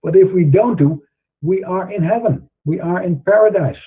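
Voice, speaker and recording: A man's voice: high-pitched, moderate pace, husky, narrow, tinny audio. A middle-aged man with a Dutch accent, hosting an online show and preaching earnestly to his viewers, with many fillers and restarts. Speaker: host. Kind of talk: monologue to camera in an online show.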